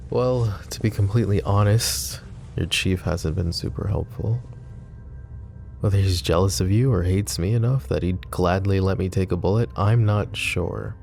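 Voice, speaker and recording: A man voicing a possessive, protective mafia boss in an ASMR roleplay, talking in a calm, confiding way with casual swearing. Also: low voice, normal speed, creaky, soft background music. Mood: candid. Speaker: voice actor.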